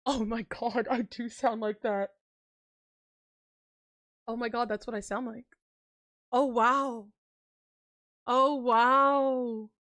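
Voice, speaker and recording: A woman's voice: on the high side, fairly even intonation, slow pace, pauses often, fairly bright tone. A young woman streaming live, chatting casually and rambling with lots of filler words and frequent laughs.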